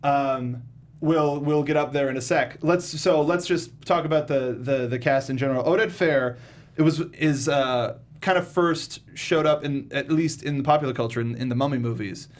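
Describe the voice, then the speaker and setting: A man's voice: medium pitch, moderate pace. A man hosting a casual film discussion, informal and often groping for his words. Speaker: talk show host.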